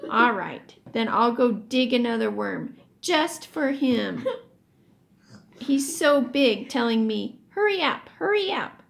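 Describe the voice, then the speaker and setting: A woman's voice: fairly high pitch, very animated, slow, vocal fry, a little hiss or hum, full character voice. A woman voicing a stubborn, combative schoolboy who goads and pushes, in a children's storybook. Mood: irritated, goading. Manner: pushy, goading. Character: stubborn, combative schoolboy determined to win a bet.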